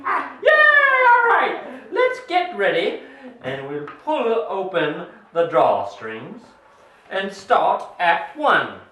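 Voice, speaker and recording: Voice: medium pitch, huge pitch swings, slow pace, pushed and bright. An announcer of a homemade children's puppet show, speaking in a playful, encouraging, exclaiming way to a small audience. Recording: distant echoey home recording, faint noise.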